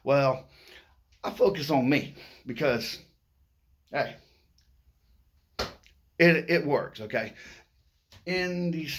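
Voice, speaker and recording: A man's voice: on the high side, expressive, drawn-out words, pauses often, a little hiss or hum. A middle-aged man who stutters, talking to the camera in a playful, upbeat way and often adding "okay?". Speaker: vlogger. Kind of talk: casual motivational talk to camera.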